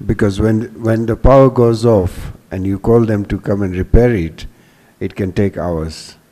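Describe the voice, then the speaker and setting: Older man with a low, mellow voice. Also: unhurried, big-room sound, rough recording. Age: 60s. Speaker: panelist, business executive. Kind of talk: explanatory panel talk. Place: hall stage before a seated audience. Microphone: handheld microphone held at the chest.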